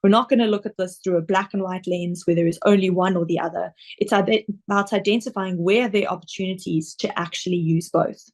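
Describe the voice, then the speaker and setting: A woman with a South African accent, an investment director giving a webinar presentation over slides in a measured, explanatory way with occasional ums. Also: fairly low pitch, steady tone, a little mellow.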